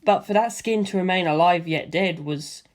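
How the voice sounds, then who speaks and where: A woman narrating a story in a steady, expressive audiobook reading. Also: on the low side, moderate pace. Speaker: reader.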